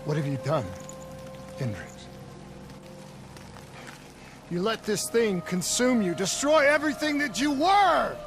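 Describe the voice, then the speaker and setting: A loyal soldier hero from a video game, full of anguish and resolve. His high voice moves widely in pitch over prominent cinematic music. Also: sing-song, slow pace, pauses often, full character voice. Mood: accusing. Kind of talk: dramatic video game cutscene acting.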